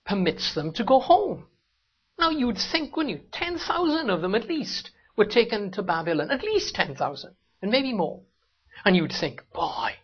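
A man's voice: slightly rough, muffled recording. A Welsh man preaching a sermon in a church, talking to the congregation in a conversational way and asking rhetorical questions. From Wales. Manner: conversational, rhetorical questions, rises sharply in pitch for emphasis. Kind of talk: sermon with opening prayer. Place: church hall.

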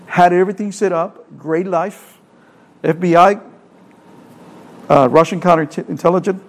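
Salvadoran accent guy preaching, highish voice, keeps asking the crowd questions, repeats himself. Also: steady tone, a little slow. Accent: Salvadoran Spanish. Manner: earnest, repeats phrases, asks rhetorical questions. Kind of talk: sermon.

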